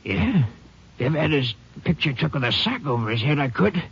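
A rough raspy old-timey watchman, kinda high, stumbles over his words, dull old radio sound. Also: very animated, normal speed, heavily acted. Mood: grumbling. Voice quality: gravelly, raspy drawl with ungrammatical country speech. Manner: stammers and repeats words when flustered. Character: dazed older male night watchman, rustic and stammering. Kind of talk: 1950s radio drama acting. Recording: dull lo-fi vintage radio recording.

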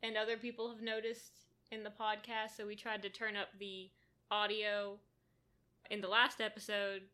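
A soft-spoken woman co-hosting a casual podcast from a kitchen table at home. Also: mid-range voice, completely flat delivery, moderate pace. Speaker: podcast co-host. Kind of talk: casual chat.

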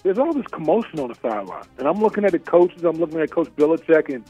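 Ex-player guy remembering the game, easygoing, a bit high, dull-ish sound w/ faint music. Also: sing-song, quick pace, warm tone, slightly rough. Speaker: former football player. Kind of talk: documentary interview.

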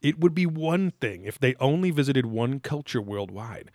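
A man's voice: mid-range voice, animated, normal speed, a little mellow, no echo, clean studio sound. A man in his thirties hosting a casual recap chat, rambling and swearing freely, sometimes reading quotes aloud theatrically. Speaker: podcast host.

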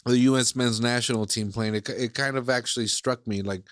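A man from Iceland talks in a loose, meandering way, doubling back on his points and restarting his sentences. His voice sits at an ordinary pitch, and it is recorded close and dry with no room echo. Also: steady tone, fairly quick, fairly bright tone. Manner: rambling, circles back with "again" and "like". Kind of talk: casual chat.

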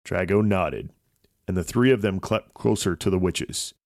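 Low-pitched man with a creaky voice. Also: measured pace, darkish tone, dry recording, studio quality. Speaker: host and narrator of a children's story podcast. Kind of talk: scripted storytelling narration.

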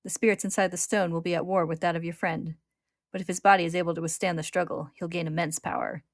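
A woman's voice: lowish voice, level tone, fast, no echo, studio quality, close to an everyday voice. Woman voicing a sneering, gloating monster, gleefully cruel. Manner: taunting, smug.

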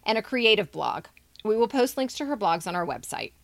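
A woman's voice: medium pitch, big pitch swings, fairly quick, vocal fry, dry recording. A woman hosting a podcast, reading scripted introductions and announcements. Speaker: podcast host.

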